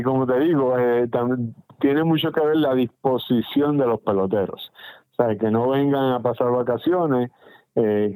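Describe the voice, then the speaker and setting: A man's voice: medium pitch, mellow, clean tone, no echo, low-quality recording. A middle-aged man with a Puerto Rican accent, a baseball general manager answering radio interview questions with many restarts and fillers. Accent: Puerto Rican Spanish. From Puerto Rico.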